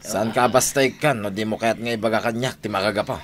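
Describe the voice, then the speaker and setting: A man's voice: fairly low pitch, steady tone, fast talker, slight background noise, close to an everyday voice. An actor playing a wary henchman, a man with an Ilocano accent and an insistent, questioning manner in a radio drama. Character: wary henchman questioning his boss and an older woman.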